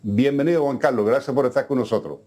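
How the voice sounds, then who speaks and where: A man's voice: medium pitch, fast talker, warm tone. A man hosting a business news show, talking conversationally with frequent fillers and restarts.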